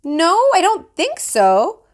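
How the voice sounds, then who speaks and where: A woman's voice: very high-pitched, sing-song, slow, voice pushed up, exaggerated voice. A woman voicing an indulgent, fussing grandmother in a read-aloud children's storybook. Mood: shaken.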